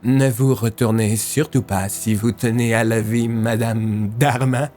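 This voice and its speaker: An actor playing a menacing bomber in an audio drama, speaking in a cold, controlled, chilling manner. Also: male voice, mid-range voice, fairly even intonation, a little slow, a little mellow, soft background music, lightly acted. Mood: cold menace. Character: menacing male bomber stalking a journalist.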